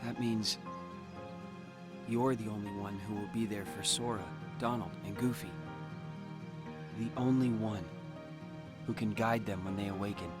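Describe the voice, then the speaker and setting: A man's voice: on the low side, steady tone, a little slow, pauses often, close to an everyday voice. A man playing a solemn, troubled young man, resolute and brooding, in a video game cutscene. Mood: solemn, pleading. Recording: loud music behind the voice. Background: game cutscene music.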